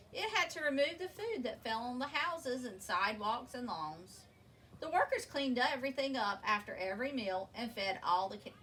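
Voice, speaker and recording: A woman with a bright, very animated voice reads a children's story aloud. She speaks expressively and deliberately, stretching key words. She sounds a little distant from the microphone. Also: a little high. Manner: expressive, deliberate, drawn-out emphasis. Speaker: storybook reader. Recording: distant mic with faint background noise.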